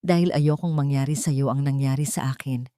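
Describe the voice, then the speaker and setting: A woman's voice: extremely low pitch, fairly even intonation, brisk, warm tone, no echo, studio quality, voice pushed down. Pained, frightened Filipino woman in hiding, voiced by a narrator. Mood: anguished. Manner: pained, dramatic. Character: frightened, bitter woman hiding from her abusive family. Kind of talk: dramatised storytelling.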